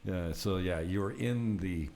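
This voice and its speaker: A man's voice: low-pitched, unhurried, darkish tone. A man hosting a podcast in casual chat, speaking bluntly and informally with some swearing. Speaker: podcast host.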